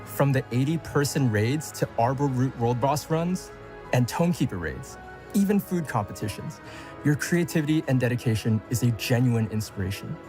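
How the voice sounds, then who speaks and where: A man's voice: medium pitch, expressive, normal speed, over music. A man delivering a scripted announcement as a studio spokesman, formal and earnest.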